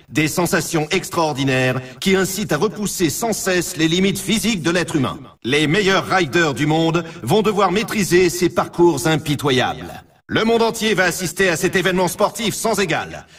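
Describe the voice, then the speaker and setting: Bombastic high-voiced snowboard game announcer. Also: male voice, big pitch swings, moderate pace, a bit bright, slightly rough, recorded from a distance, heavily acted. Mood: grandiose, solemn. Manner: grandiose, theatrical, emphatic. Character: bombastic male extreme snowboarding championship announcer. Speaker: video game sports announcer. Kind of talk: scripted dramatic sports game announcing.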